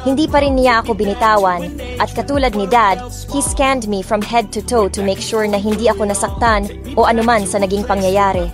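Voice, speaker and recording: A woman's voice: medium pitch, normal speed, natural voice. A young woman playing a lovestruck heiress, narrating her own romance story in an emotive, dramatic way. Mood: relieved. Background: music.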